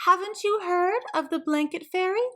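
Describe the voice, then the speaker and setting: A woman's voice: very high-pitched, moderate pace, pitched above the performer's own voice. A woman voicing a fussy, meddling older female neighbor in a storybook, prim and know-it-all.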